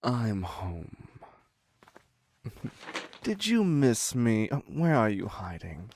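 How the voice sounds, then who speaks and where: A man's voice: on the low side, sing-song, very slow, darkish tone, a little hiss or hum, heavily acted. A man playing a theatrical, clingy serial killer in an audio roleplay, wry and mock-courteous as he dotes on his captive. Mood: playfully teasing. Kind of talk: scripted dark romance audio roleplay.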